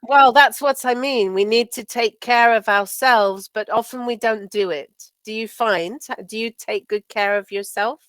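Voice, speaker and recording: A woman teaching English in a casual, chatty conversation, slightly croaky from a sore throat and often checking with tag questions. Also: fairly high pitch, unhurried, hardly any pauses, a bit bright, no room sound. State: sore throat, recovering from flu. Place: video call from home.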